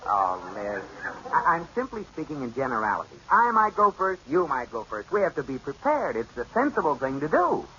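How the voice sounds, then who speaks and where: A man's voice: fairly high pitch, huge pitch swings, brisk, bright, forceful tone, full character voice. An actor playing a patient, teasing young businessman husband in a 1940s radio comedy, explaining things with amused patience. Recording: dull old radio broadcast with hiss.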